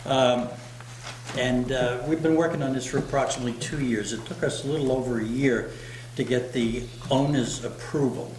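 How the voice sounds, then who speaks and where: A man from the local historical society presenting to a town board in a meeting room, speaking loud without a microphone. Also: mid-range voice, fairly even intonation, normal speed. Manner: loud, unamplified voice. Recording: echoey room with background noise.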